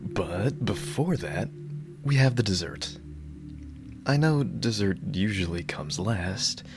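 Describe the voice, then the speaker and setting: A man playing a dorky, lovestruck bodyguard in an ASMR roleplay, speaking in an awkward, halting, earnest way. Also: sits low, exaggerated voice.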